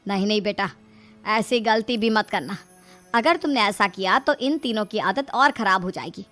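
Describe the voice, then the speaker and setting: A woman's voice: mid-range voice, normal speed, a bit bright, faint music behind, lightly acted. A woman voicing a scheming, fretful middle-aged mother-in-law with pleading, emphatic delivery in a comic story. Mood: exasperated.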